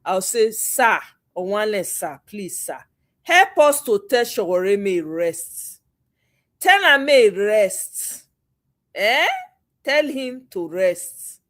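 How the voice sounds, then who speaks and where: A woman's voice: mid-range voice, expressive, slow pace, a bit bright. A Nigerian woman hosting a political commentary vlog, talking bluntly and slipping Pidgin into her English. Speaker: host.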